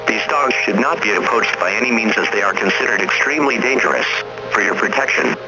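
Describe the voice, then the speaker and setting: A man with a fairly low voice reads a civil danger broadcast. He is formal, measured and impersonal, as in a scripted alert, with music clearly playing behind him. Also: poor audio. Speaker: emergency alert announcer.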